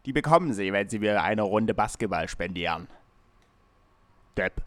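A man's voice: lowish voice, expressive, moderate pace, lightly acted. A man playing a cheeky, absurd butcher, theatrical and flippant, in an amateur comic radio play.

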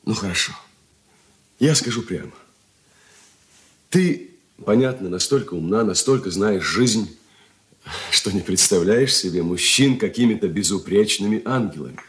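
An actor playing a nervous, evasive suitor in a radio drama, speaking earnestly and hesitantly in a theatrical way. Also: male voice, fairly low pitch, lively intonation, normal speed, long pauses, close to an everyday voice. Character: nervous, evasive suitor confessing to his fiancée, then pleading. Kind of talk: Soviet radio drama acting.

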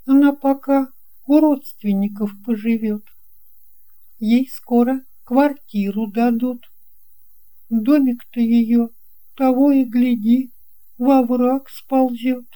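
Storybook girl, eight, played by a woman: kind and sure of herself, mellow, unhurried, gently reassuring. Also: on the high side, fairly even intonation, faint music behind, voice pushed up. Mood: helpful, confiding. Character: kind, confident eight-year-old girl who believes in fairy tales. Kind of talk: children's audio storybook dialogue.

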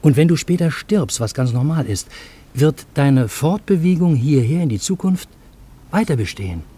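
A man's voice: fairly high pitch, animated, normal speed, mellow, slightly rough. An actor playing a genial, easily bewildered Parisian in a German radio play, urbane and wry in his literary storytelling. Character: genial, bewildered Parisian man narrating a fantastic encounter.

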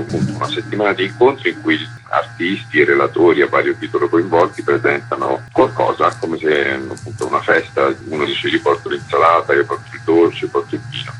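A man's voice: rumbling low, fairly bright tone, rough recording. A man being interviewed as a festival's artistic director, explaining in a conversational way.

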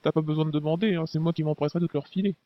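Man playing a brash young resistance fighter, fast. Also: fairly high pitch, fairly even intonation, highs cut off, close to an everyday voice. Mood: casual. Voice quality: casual slang, hesitant fillers.

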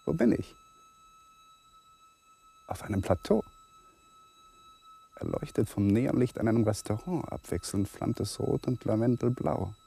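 An actor playing an anxious young lawyer in a fragmented, brooding inner monologue that drifts into whispers, in a radio play. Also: male voice, medium pitch, huge pitch swings, a little slow, creaky, pauses often, warm tone, husky, heavily acted. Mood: dazed, haunted.

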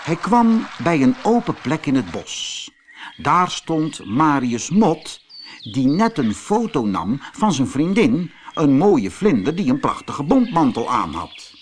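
A man narrating a children's fairy tale audiobook in an expressive storytelling manner. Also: fairly high pitch, slow pace, slight background noise.

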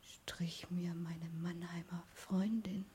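A woman's voice: fairly low pitch, steady tone, slow pace, warm tone, slight background noise. A woman acting a yearning young woman who voices her inner thoughts in a German radio play. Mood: intimate, wistful. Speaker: actress in a radio drama.

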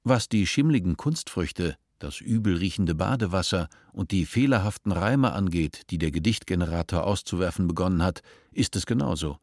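A man narrating a radio play in a measured, sober way. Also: low voice, animated, no echo, studio quality.

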